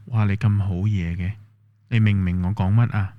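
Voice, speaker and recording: A man's voice: lowish voice, steady tone, moderate pace, dry recording, dull audio. A man vlogging a test of an AI voice assistant, explaining step by step and thinking aloud. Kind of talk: casual tech demo commentary.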